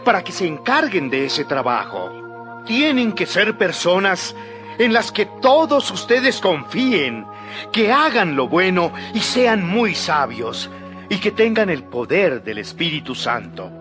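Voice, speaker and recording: A slow, high-voiced earnest apostle. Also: male voice, big pitch swings. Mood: earnest, instructing. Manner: declamatory, deliberate. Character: earnest male apostle leader addressing his followers. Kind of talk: dramatized Bible audio acting. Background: music.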